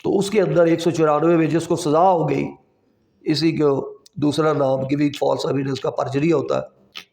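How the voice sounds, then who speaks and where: Echoey, higher-pitched Pakistani man lecturing. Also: fairly even intonation, creaky, darkish tone. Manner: didactic, repeats points and asks rhetorical questions; switches between Urdu and slow English quotations. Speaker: law lecturer. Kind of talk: explanatory exam-prep lecture. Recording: echoey, reverberant room.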